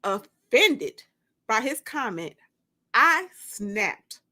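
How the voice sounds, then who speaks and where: Slow, bright woman reading a dramatic story. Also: fairly high pitch. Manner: expressive, emphatic storytelling. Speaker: author and narrator. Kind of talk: dramatic first-person audiobook narration.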